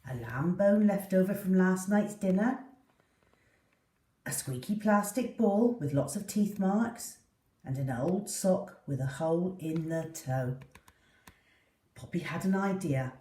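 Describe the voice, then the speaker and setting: A woman reading a children's story aloud in an expressive, deliberate way for young listeners. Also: on the low side. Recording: roomy echo, distant mic.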